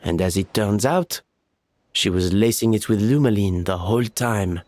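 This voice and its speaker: A man's voice: on the low side, moderate pace, a little mellow, lightly acted. A man playing an earnest, reassuring restaurant worker in an anime game, articulate and explanatory, with music behind him. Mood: explaining, serious.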